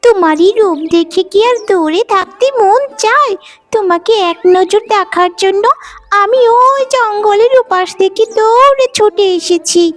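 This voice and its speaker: A woman voicing a young talking fawn in a cartoon, gushing with sweet affection and flattery. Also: very high-pitched, measured pace, faint music behind. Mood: admiring, affectionate. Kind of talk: cartoon voice acting.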